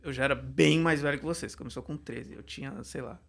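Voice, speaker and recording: A man, a writing teacher telling stories in a casual podcast chat, animated and laughing often. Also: mid-range voice, brisk, creaky. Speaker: Portuguese and creative writing teacher, guest.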